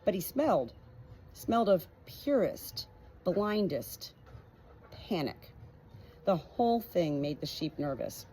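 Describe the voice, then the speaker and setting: A woman with a slightly husky voice narrating a storybook from a script. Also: on the low side, very animated, slow pace, long pauses, dark tone, slight background noise. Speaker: audiobook reader.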